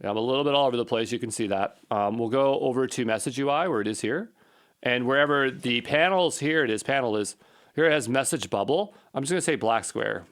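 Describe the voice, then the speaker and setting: A man's voice: medium pitch, fast, a bit bright. A man giving an informal coding walkthrough, thinking aloud and muttering to himself as he works. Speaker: coding tutorial presenter.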